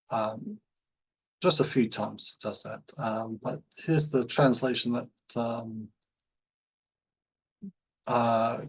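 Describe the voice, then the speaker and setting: Husky man giving a halting tutorial. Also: mid-range voice, low-quality recording. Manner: halting, hesitant explanation. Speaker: language-learning teacher. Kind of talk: informal tutorial.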